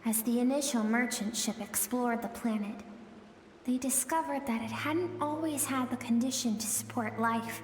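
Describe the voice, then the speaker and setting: An actress playing a gentle, wistful storyteller, narrating a video game tale in a measured, dramatic, hushed manner. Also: female voice, fairly high pitch, natural voice. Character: gentle, wistful female storyteller, solemn and tender. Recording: long reverb, music behind.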